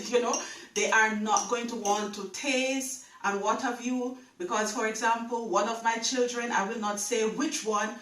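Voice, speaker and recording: Woman, Jamaican accent, middle-aged, casual vlog chat, slightly high voice, a bit off-mic. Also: fairly bright tone, reverberant, far from the mic, rough recording. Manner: chatty, repeats words, speaks straight to viewers. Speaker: travel vlogger. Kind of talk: casual talk to camera.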